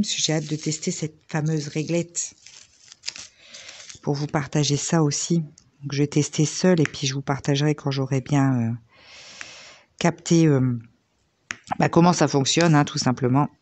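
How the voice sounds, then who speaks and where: A slightly hoarse woman in her thirties, chatting casually with lots of fillers as she works on a diamond painting at home. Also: deep voice, fairly even intonation, normal speed, pauses often, darkish tone, slight background noise. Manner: chatty, rambling, many fillers. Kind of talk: casual chat while crafting.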